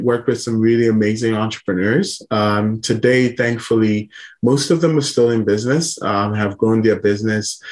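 Low-voiced Ghanaian-raised man, easygoing. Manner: relaxed, laughs often, many ums and restarts. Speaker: podcast guest, startup founder. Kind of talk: casual interview.

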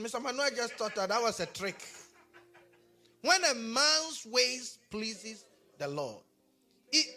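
A man from Ghana with a Ghanaian accent, preaching a sermon and talking back and forth with his congregation. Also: very high-pitched. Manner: animated, talks with the congregation. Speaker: pastor.

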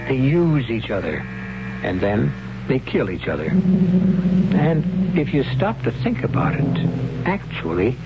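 A male radio drama host reads measured, musing narration in a gravelly voice that rises and falls widely. The old broadcast sounds dull and lo-fi, with music playing clearly behind him. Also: mid-range voice, big pitch swings. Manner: measured, ruminating commentary.

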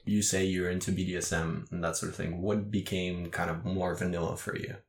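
A man's voice: low voice, little pitch movement, a little fast, vocal fry. A man whose first language is Russian, interviewing in a casual chat and asking curious, probing questions with dry quips. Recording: roomy echo.

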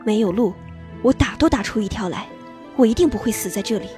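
A woman's voice: medium pitch, animated, fairly quick, mellow, natural voice. A woman acting a cold, curt young female assassin in a radio drama, speaking in terse, clipped lines. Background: music score underneath.

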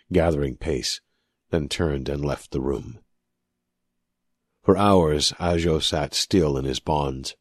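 A man's voice: rumbling low, a little mellow, breathy edge, no room sound, clean studio sound. A man narrating scripted fiction as an audiobook reader.

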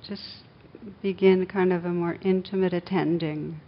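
A woman leading a guided meditation as a teacher, speaking gently and soothingly, with long unhurried pauses. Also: steady tone. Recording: dull muffled recording with faint rumbling noise.

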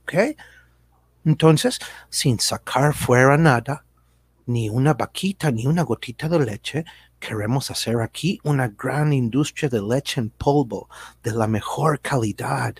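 A man's voice: medium pitch, a little slow, husky, no echo. A man playing a slick, evasive American businessman in a satirical radio drama, speaking Spanish with an American accent, hesitant and dropping in English words. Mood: persuasive, grandiose. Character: slick, evasive American businessman selling his multinational company.

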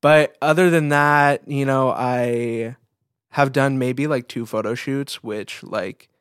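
A man of average pitch chats casually as a podcast co-host. His phrasing is halting and hesitant. The recording is very dry and free of room sound. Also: steady tone, fairly bright tone, smooth, no room sound.

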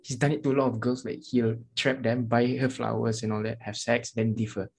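A young man with a somewhat husky voice, speaking at a middle pitch. He chats casually and relaxed, dropping slang like "dude" and "bro" as he talks. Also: brisk. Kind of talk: casual chat.

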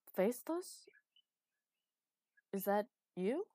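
A woman's voice: medium pitch, very animated, drawn-out words, mellow, dry recording, pitched above the performer's own voice, full character voice. A woman voicing an anguished, angry warrior man with a remade metal body in a storybook narration. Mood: confused.

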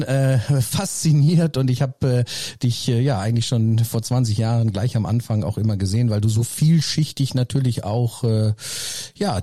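A man's voice: medium pitch, mellow, no echo. A man hosting a golf podcast, talking enthusiastically and hesitantly with many fillers. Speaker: podcast host. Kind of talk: casual podcast interview. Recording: dry close sound.

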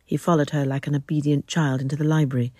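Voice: medium pitch, level tone, fast, a little mellow, dry recording. Dramatic narrator with mid-range voice.

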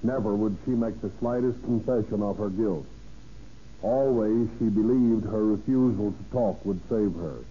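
A man reading scripted 1930s radio narration in a formal, measured manner as a police chief narrator, on an old radio recording. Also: fairly low pitch, dark tone, muffled recording, a little hiss or hum.

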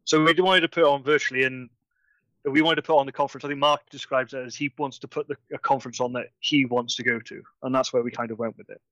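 Bright-voiced man chatting. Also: medium pitch, steady tone, fast talker, no echo, rough recording. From Wales. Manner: chatty, enthusiastic, trips over words. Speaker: conference organiser guest. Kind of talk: casual video-call chat.

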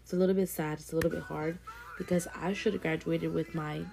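A woman in her twenties talks straight to her viewers in an earnest, encouraging way. Her voice sits low and stays fairly level, with a gravelly edge of vocal fry running through it. She often repeats a phrase to drive it home. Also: fairly even intonation, fast, noisy background. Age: 20s. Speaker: vlogger. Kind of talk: casual motivational talk to camera.

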